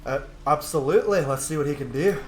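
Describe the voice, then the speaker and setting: A man doing casual, excited gameplay commentary, cheeky and slangy, gushing as he goes. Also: a little high, quick pace, reverberant, faint music behind. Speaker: gaming video host.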